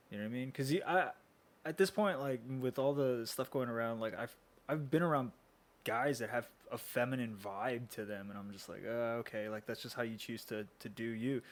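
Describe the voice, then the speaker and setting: An American man chatting casually as a co-host, loose and informal, with many filler words. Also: medium pitch. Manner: informal, hesitant phrasing peppered with "like". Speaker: podcast co-host. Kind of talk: casual chat.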